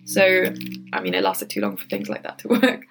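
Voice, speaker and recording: A young woman co-hosting a casual podcast chat, relaxed, laughing easily and using many fillers. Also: rumbling low, brisk, a little hiss or hum. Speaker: podcast co-host.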